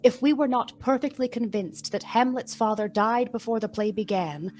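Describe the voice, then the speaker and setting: An actress playing the wry narrator of a Victorian ghost tale, telling it in deliberate, emphatic literary style for a radio play. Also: female voice, fairly high pitch, darkish tone, natural voice. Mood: wry, matter-of-fact.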